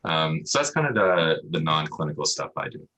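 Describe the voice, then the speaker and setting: Very deep, husky-voiced man, bright edge. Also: very animated, normal speed, rough recording. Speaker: emergency radiologist, interview guest. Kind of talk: casual interview answers. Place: virtual interview over video call. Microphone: video call microphone.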